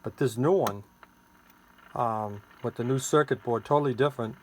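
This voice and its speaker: A man with a mid-pitched voice walks through a product he sells in an informal demo. He explains in a rambling, hands-on way. The recording has clear background noise and clicks. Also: moderate pace. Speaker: seller demonstrating his homemade radio gadget.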